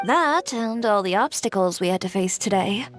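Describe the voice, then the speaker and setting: A woman voicing a composed, reserved shop owner in a video game, measured and matter-of-fact. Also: mid-range voice, animated, soft background music, close to an everyday voice.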